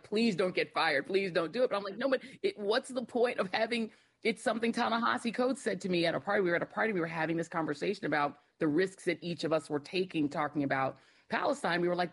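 A woman's voice: fairly low pitch, slightly rough. A middle-aged woman guest, a former TV host, speaking confidently and directly. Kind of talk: panel interview.

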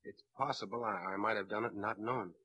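An actor playing an earnest, frightened young sailor accused of murder, pleading and halting in a crime drama. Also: male voice, fairly low pitch, steady tone, pushed and bright, slightly rough, low-quality recording. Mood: confused, earnest. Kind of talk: dramatic film-noir crime acting.

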